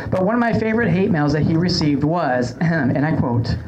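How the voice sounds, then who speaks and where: A woman's voice: low-pitched, expressive, moderate pace, lo-fi sound. A woman hosting a podcast live, delivering a sarcastic, mock-grand comic rant to an audience. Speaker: podcast host. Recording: live room with crowd noise.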